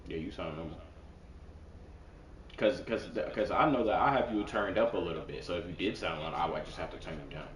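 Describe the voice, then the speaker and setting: A man's voice: deep voice, brisk, reverberant, distant mic, slight background noise. A man in a casual online chat, patiently explaining mic settings and repeating himself. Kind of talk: casual chat giving audio advice. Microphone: gaming headset.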